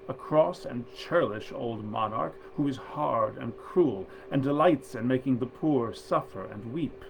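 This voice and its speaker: Gravelly-voiced man presenting stories, noisy recording. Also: mid-range voice. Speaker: actor hosting a literary reading. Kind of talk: informal introduction, then storybook reading aloud.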